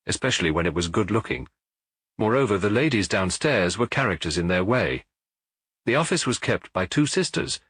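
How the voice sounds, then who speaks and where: A British man reading a detective story as an audiobook, in a measured, precise literary narration. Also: sits low, fairly bright tone, slightly rough, no echo.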